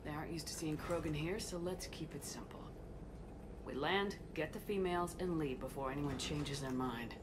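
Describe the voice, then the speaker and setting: A woman's voice: low-pitched, normal speed, background noise, close to an everyday voice. An actress playing a resolute female military commander in a video game, firm and authoritative. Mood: commanding. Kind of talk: dramatic video game acting.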